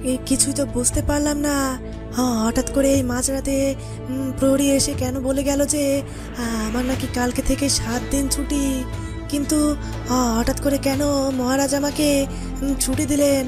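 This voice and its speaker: Woman voicing a high-pitched, bewildered old man. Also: flat delivery, normal speed, long pauses, music in the background, lightly acted. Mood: puzzled. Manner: hesitant, opens phrases with quavering hums. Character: bewildered elderly male royal astrologer. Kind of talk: Bengali fairytale cartoon dubbing.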